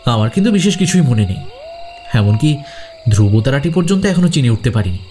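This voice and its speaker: An actor playing an uneasy, admiring schoolboy sidekick in an adventure audio drama, with an anxious, questioning manner. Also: male voice, medium pitch, big pitch swings, fairly quick, dark tone, a little hiss or hum, lightly acted. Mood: curious, admiring. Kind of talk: Bengali audio drama acting.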